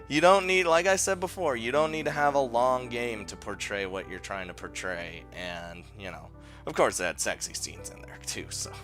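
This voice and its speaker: A man's voice: medium pitch, moderate pace, fairly bright tone. A man hosting a casual countdown video, rambling informally as he talks. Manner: rambling, informal, chuckles often. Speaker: video host. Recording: music playing behind the voice. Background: background music.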